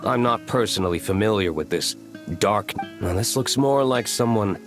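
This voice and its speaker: A man's voice: fairly low pitch, normal speed, natural voice. A man voicing a reserved, curt young nobleman vigilante in a video game, cool in temper. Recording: game music behind the voice.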